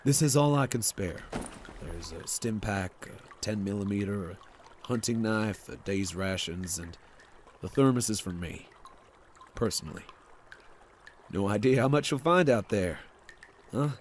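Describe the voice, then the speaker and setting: A man's voice: lowish voice, animated, unhurried, pauses often, slight background noise. A man acting a reluctant, caring leader of an underground shelter in an audio drama, hesitant and subdued. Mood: reluctant, practical.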